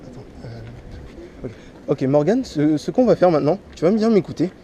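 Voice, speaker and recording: Man from Martinique, head cold, hypnotist. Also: sing-song. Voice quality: repetitive, slow-paced, coaxing commands that drop soft and low. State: has a slight head cold. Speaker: street hypnotist. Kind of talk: stage hypnosis patter with a volunteer. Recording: noisy crowd around the mic. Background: chattering crowd.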